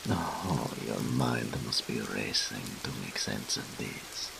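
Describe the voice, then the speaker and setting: Super deep seductive demon incubus, slow n pompous, teasing, hissy rainy noise behind it. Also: male voice, expressive, full character voice. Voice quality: very deep, gravelly. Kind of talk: scripted audio roleplay monologue, addressed to the listener.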